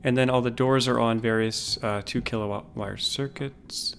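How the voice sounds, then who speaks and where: Calm, low-key man streaming a game. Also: creaky, slight background noise. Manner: relaxed, unhurried, thinks aloud with restarts. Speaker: livestreamer. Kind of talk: casual gameplay commentary.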